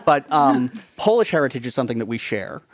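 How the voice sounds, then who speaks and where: A man's voice: mid-range voice, very animated, fast talker, no echo. Chatty man with a dry close sound. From eastern United States. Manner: chatty, with frequent restarts. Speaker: co-author. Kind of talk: live onstage conversation.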